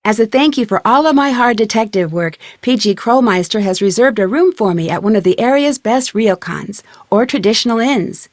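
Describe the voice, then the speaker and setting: A woman performs a young female amateur detective for a video game. Her voice sits a little high and is recorded very dry. She speaks in an earnest, upbeat and inquisitive way, polite with everyone she questions. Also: animated, moderate pace, few pauses, no echo, lightly acted. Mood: bright, narrating. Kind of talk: scripted adventure game dialogue.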